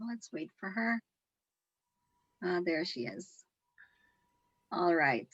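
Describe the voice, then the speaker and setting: A woman's voice: fairly low pitch, animated, slow pace, a bit bright, slightly rough, no echo. A middle-aged woman hosting a livestream interview, speaking over a muffled video call. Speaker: host.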